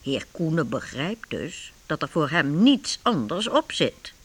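A woman narrating a medieval adventure in a radio play, with a husky voice and expressive storytelling delivery. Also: low-pitched, takes it slowly, full character voice. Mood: measured storytelling.

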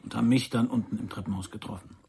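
Gravelly, cynical private detective. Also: male voice, fairly low pitch, steady tone, fast, dark tone, exaggerated voice. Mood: sardonic. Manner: sardonic, drawling, interrogating. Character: cynical, blunt male private detective, prodding and sardonic. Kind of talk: German radio crime drama acting.

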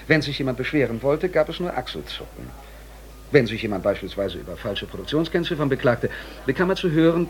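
An actor playing a male company employee telling a story with measured, dry irony in a radio drama monologue. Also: medium pitch, lightly acted. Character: dryly ironic male company employee recounting office absurdities.

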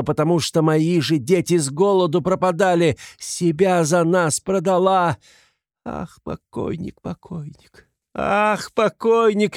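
A man voicing a hysterical, sickly, impoverished widow in an audiobook, shrill and frantic, fiercely protective and indignant. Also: no echo, studio quality. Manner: frantic repetitions, accusing, pleading. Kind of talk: dramatized literary audiobook.

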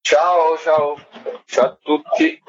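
A man's voice: on the high side, flat delivery, drawn-out words. Informal, rambling man on dull radio audio. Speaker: guest, stage storyteller. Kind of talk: casual spoken interview.

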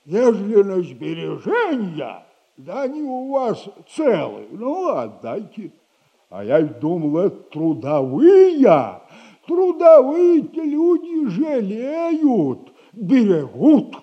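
An actor playing a sly, sardonic old retired soldier who lends money, in a 1960s radio play. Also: male voice, high-pitched, wildly swooping pitch, muffled recording, exaggerated voice. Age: older. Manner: slow, teasing, sardonic advice.

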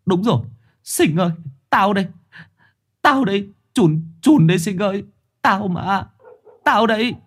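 A man's voice: lively intonation, a little slow, pauses often, full character voice. A man voicing a young man turned into a dog, pleading in a strained, raised voice. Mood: desperate. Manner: pleading shouts, voice strained high.

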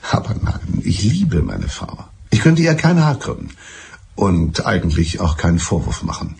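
Smug betrayed husband, radio play, low gravelly voice, mild and pedantic. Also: male voice, very animated, darkish tone, a little hiss or hum, heavily acted. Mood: resigned, earnest. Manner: deliberate, pedantic, circuitous. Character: mild, evasive betrayed husband confessing, smugly clever. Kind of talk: German radio drama acting.

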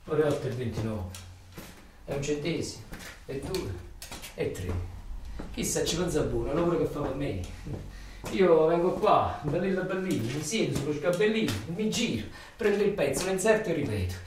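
An actor playing a weary, embittered factory worker in a theatrical radio monologue, with a southern Italian, Neapolitan accent and incantatory, repetitive phrasing. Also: male voice, fairly high pitch, animated, moderate pace, natural voice. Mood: numb, mechanical. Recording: echoey room, far from mic, noisy.

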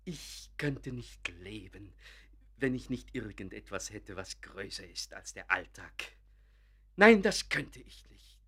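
A man's voice: on the low side, sing-song, slow, a bit bright, husky, heavily acted. A man playing a fervent, idealistic amateur artist in a German radio play, effusive, solemn and self-important. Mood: excited, convinced.

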